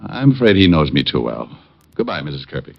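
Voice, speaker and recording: An actor playing a world-weary but soft-hearted private eye in a vintage radio drama, talking in a laconic, casual, wry way. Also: male voice, very deep voice, sing-song, brisk, vocal fry, heavy background noise, full character voice. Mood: gently reassuring. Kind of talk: 1940s-50s radio drama acting and narration. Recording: dull lo-fi old radio recording.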